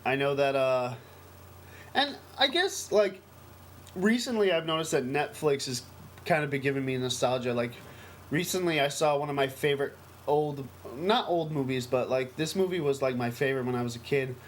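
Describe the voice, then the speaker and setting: A man's voice: medium pitch, expressive, normal speed. An American man co-hosting a casual podcast, talking in a rambling, emphatic, enthusiastic way. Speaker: podcast co-host. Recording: noisy amateur podcast recording.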